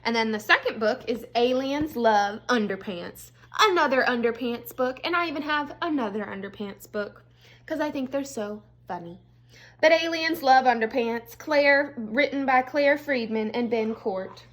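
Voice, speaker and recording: A woman, an elementary school teacher, reading a storybook aloud to young children with expressive delivery and casual, chatty asides. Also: on the high side, normal speed.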